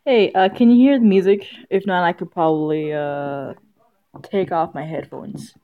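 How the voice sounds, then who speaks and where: A woman live-streaming a game, chatting casually and haltingly as if half to herself. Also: fairly low pitch. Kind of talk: casual live-stream chat while setting up and playing a visual novel. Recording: dull lo-fi mic with music behind.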